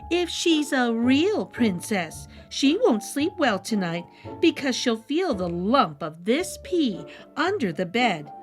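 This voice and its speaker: A woman voicing a scheming, excitable queen in a fairy tale. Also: a little high, takes it slowly, faint music behind. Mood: plotting.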